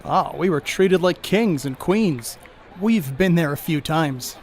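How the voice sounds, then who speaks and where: A man plays a hard-living, jovial sports star in a period radio play. His voice sits fairly high and he talks in boastful, folksy slang. A faint outdoor traffic noise runs behind him. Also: animated, slow pace. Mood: genial, proud. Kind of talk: audio drama acting, 1930s setting.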